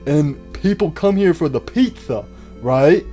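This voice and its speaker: A man voicing a dim, nosy, childlike animatronic bear in a comedy cartoon, questioning everything in a slow-witted way. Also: on the high side, animated, dark tone, soft background music. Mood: dawning realization. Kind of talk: amateur comedy cartoon dialogue.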